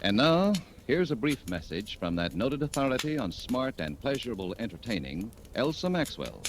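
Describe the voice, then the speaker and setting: A man's voice: mid-range voice, very animated, moderate pace. A man with an American accent announcing 1940s radio advertisements in a polished, emphatic sales delivery. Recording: noisy old 1940s radio recording.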